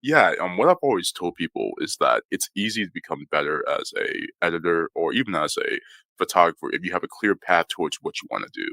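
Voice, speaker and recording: Young guy on a podcast, deep gravelly voice, talks fast and rambles w lots of fillers. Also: dry recording, clean studio sound. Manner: relaxed, rambling, many "you know" fillers. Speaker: photographer guest. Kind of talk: casual interview conversation.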